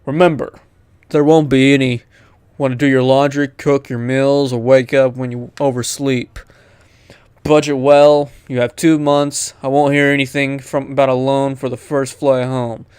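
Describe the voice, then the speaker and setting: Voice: medium pitch, level tone, moderate pace, a little mellow, clean tone, no room sound, pitched below the performer's own voice, natural voice. Gamer putting on a stern, blunt father, close-miked. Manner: blunt, lecturing. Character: stern, curt father of a grown child.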